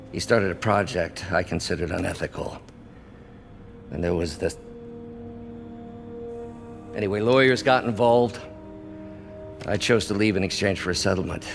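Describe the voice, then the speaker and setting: A low-pitched middle-aged scientist, worn down and embittered. He speaks naturally, as in a video game cutscene, with music behind him. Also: gravelly.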